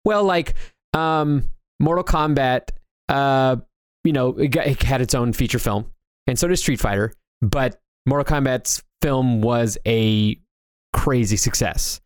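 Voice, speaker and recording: Low-pitched man in casual pop-culture chat. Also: a little slow, clean tone, no room sound. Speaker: co-host of a video game discussion show.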